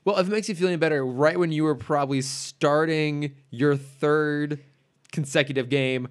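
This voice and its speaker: A man's voice: fairly high pitch, moderate pace, smooth. A young man co-hosting a podcast in casual banter, teasing and laughing often. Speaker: podcast co-host.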